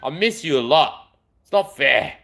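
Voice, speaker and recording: A man's voice: fairly high pitch, lively intonation, measured pace, creaky, bright, forceful tone, slight background noise. A man, chatting in a rambling, affectionate way on a live stream. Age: 40s.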